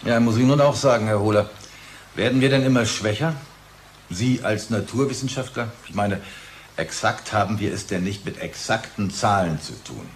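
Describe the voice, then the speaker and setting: An actor playing a smooth-talking, needling company boss in audio drama dialogue. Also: male voice, on the low side, normal speed, slight background noise, natural voice. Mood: needling, conciliatory. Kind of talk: dialogue radio-play acting.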